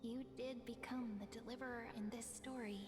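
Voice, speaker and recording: An actress playing a serene, affectionate young woman in a fantasy video game, gentle and lyrical. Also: fairly high pitch, steady tone, brisk, close to an everyday voice. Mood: tender. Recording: reverberant game audio with music.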